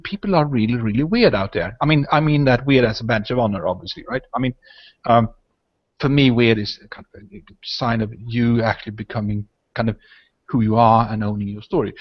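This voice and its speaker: Man, Swedish first language, talk host, rambling chatty delivery, mid pitch, muffled video call audio. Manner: rambling, frequent "right?" and "I mean". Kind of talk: casual video hangout chat.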